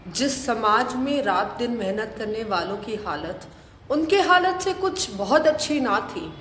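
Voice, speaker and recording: A woman's voice: on the high side, expressive, a little slow, fairly bright tone. A woman reading scripted literary narration for an audio play. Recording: echoey hall, faint noise.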